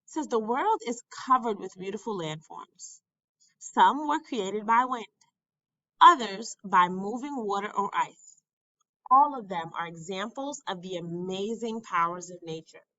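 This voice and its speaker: Slow, bright-voiced woman reading aloud. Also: medium pitch, dry recording, muffled recording. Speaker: school staff member reading a textbook aloud. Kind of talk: read-aloud narration of a children's science book.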